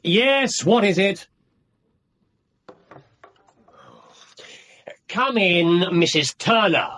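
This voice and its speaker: An actor playing a brilliant, imperious Victorian gentleman detective with an English accent, precise, sardonic and theatrical, in a radio drama. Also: high-pitched, animated, slow pace, faint music behind, heavily acted. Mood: brisk, wry.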